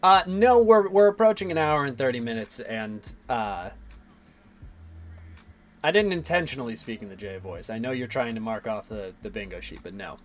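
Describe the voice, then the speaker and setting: A man in his twenties with a slightly raspy voice, rambling casually and swearing as he streams his 3D modeling work and answers chat. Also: mid-range voice, lively intonation, moderate pace. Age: 20s.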